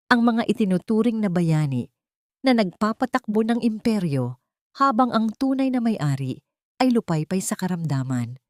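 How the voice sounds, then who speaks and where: A woman narrating a scripted dramatic story in a measured, solemn manner. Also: lowish voice, a little mellow, dry recording, studio quality.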